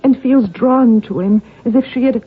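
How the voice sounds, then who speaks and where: An actress playing a devout, earnest young noblewoman, measured and theatrical, narrating and acting in a radio drama. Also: on the high side, husky, phone-quality sound. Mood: earnest, troubled. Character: devout, earnest young Irish noblewoman telling her family's story. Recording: band-limited old radio with music.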